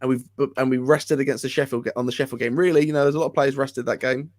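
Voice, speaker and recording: A man's voice: medium pitch, fairly even intonation, quick pace, a little mellow, dry recording, clean studio sound. An upbeat man co-hosting a casual football chat, bold and enthusiastic. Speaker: co-host.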